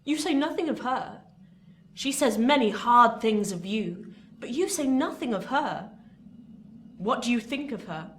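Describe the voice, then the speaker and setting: A woman's voice: fairly high pitch, animated, a little slow, fairly bright tone. A woman acting a bitter, commanding older recluse in a radio play, with abrupt, theatrical orders. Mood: coolly probing. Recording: echoey room with noise.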